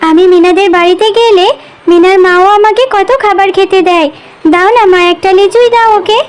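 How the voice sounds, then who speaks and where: Extremely high-pitched sweet little girl. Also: steady tone, brisk. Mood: earnest, pleading. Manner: childlike, earnest. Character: sweet eager little animal girl in a fable. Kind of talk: children's cartoon dialogue. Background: music.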